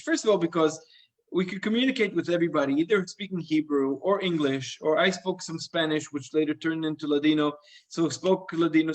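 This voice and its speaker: A middle-aged man talking casually in a live stream as a rabbi. He is earnest and halting, and he often restarts his phrases. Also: a little high.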